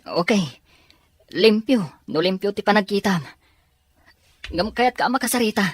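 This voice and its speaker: A woman's voice: mid-range voice, moderate pace, dry recording, natural voice. Woman playing an earnest lovelorn young student. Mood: pleading, confused. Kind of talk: audio drama acting.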